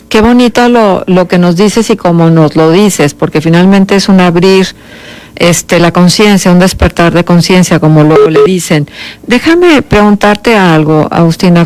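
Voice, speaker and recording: A woman's voice: lowish voice, smooth. A woman with a Mexican Spanish accent interviewing in a thoughtful, appreciative, conversational way.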